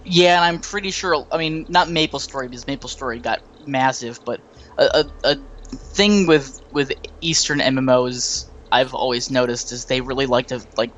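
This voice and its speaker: A man's voice: mid-range voice, a bit bright, poor audio. Chatty man in his late twenties. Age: late 20s. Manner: quick, chatty storyteller. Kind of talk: casual gaming chat.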